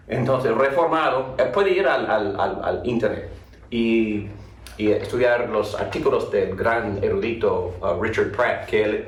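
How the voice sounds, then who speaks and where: A man from North Carolina teaching a theology class and opening with a prayer, speaking haltingly with many restarts and repeated words. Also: mid-range voice. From North Carolina, USA. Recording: echoey room, voice from far off.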